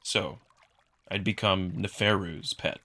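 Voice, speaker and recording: A man's voice: deep voice, steady tone, slow pace, pushed and bright. A man narrating a visual novel in the first person with scripted, dramatic delivery. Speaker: narrator and voice performer.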